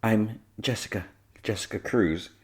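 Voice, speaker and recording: A man voicing a timid, earnest little girl who speaks softly and hesitantly, with small stammers. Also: on the low side, measured pace, voice pushed up, lightly acted. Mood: shy, admiring.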